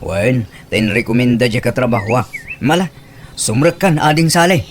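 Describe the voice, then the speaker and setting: A man's voice: medium pitch, animated, normal speed, close to an everyday voice. A man with an Ilocano accent playing an exasperated husband who defends himself, protesting emphatically in a comedic radio drama. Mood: matter-of-fact. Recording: faint background noise.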